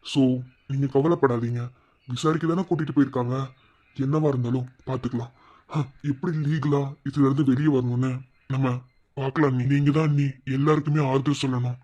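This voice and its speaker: A man playing a gentle, consoling young family member in a drama, speaking in soft, measured pleas. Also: medium pitch, fairly even intonation, lightly acted. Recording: dull, slightly lo-fi sound.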